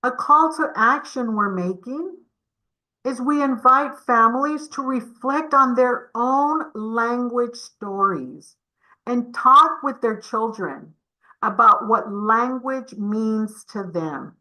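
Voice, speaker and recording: A woman's voice: on the high side, slow, bright, forceful tone, poor audio. A woman giving a community webinar presentation over a video call, encouraging and addressing the audience with questions.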